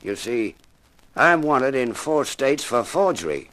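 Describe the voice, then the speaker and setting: Courteous man with lively intonation. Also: mid-range voice, slow pace. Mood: affable. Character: affable male forger on the run, courteous rescuer. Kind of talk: 1950s radio drama acting.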